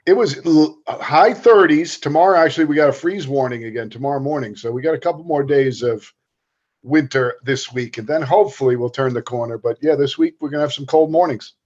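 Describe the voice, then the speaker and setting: A man's voice: mid-range voice, a little fast, darkish tone, lo-fi sound. A man joining a podcast chat, speaking briefly and matter-of-factly. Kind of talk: casual chat.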